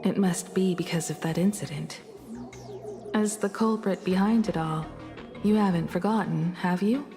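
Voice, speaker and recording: An actress playing a devoted, nostalgic young adult daughter and star navigator in a video game, speaking softly and sincerely with composure. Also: female voice, fairly low pitch, moderate pace, natural voice. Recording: music under the dialogue.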